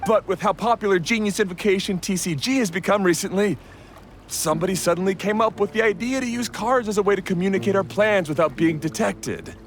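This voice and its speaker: A man acts a young bandit lackey in a video game. His voice is high for a man. He sounds timid and eager to plead his case, over faint background noise. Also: brisk, close to an everyday voice. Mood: confessing.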